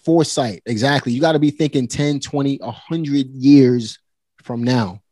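A man's voice: mid-range voice, normal speed, mellow, no room sound. A man in his forties talking casually and directly to listeners in a motivational way. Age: 40s. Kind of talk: casual conversation.

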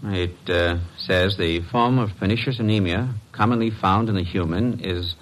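An actor playing a guarded, defiant police inspector with something to hide, in old radio mystery style. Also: male voice, deep voice, normal speed, a bit bright, slight background noise. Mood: uneasy, halting. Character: evasive, defiant police inspector hiding his guilt. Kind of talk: 1940s-50s radio mystery acting.

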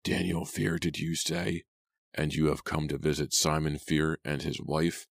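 A man's voice: a bass voice, level tone, a little slow, creaky, no echo, exaggerated voice. A man doing a wary old cab driver.

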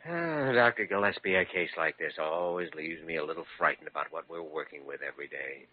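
An actor playing an earnest, thoughtful younger doctor, conversational, in a 1940s radio drama. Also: male voice, extremely low pitch, brisk, slight background noise. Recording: muffled old radio recording.

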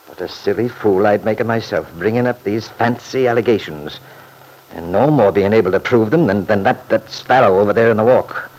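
An actor playing a proud, stubborn small-town lawyer in an old-time radio soap, blustering and indignant. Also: male voice, fairly low pitch, a little fast, a little mellow, natural voice, low-quality recording. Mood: gruff dismissive.

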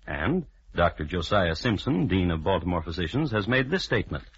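A man playing a dry, formal crime historian, narrating in deadpan 1950s radio style. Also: deep voice, a little fast, slightly rough, exaggerated voice. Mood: dry, precise. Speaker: narrator and host. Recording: muffled vintage radio broadcast recording.

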